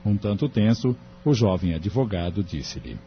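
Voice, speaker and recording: Low-voiced male narrator, muffled audio. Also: lively intonation, moderate pace. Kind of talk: scripted audio drama narration. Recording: dull lo-fi audio. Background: music.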